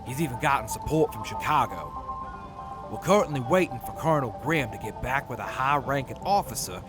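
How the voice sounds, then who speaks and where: A man giving calm, matter-of-fact scripted narration for an audio drama. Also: medium pitch, brisk, over music.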